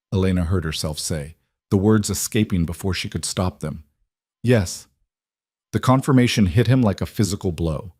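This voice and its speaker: A man's voice: deep voice, a little mellow, no room sound. A man reading scripted dramatic fiction as an audiobook narrator, close on the microphone.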